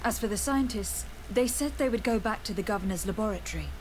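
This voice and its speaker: A woman's voice: mid-range voice, quick pace, darkish tone, slight background noise, natural voice. Woman acting formal, composed young noblewoman diplomat. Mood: measured, probing. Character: earnest young noblewoman diplomat investigating crimes. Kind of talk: video game dialogue acting.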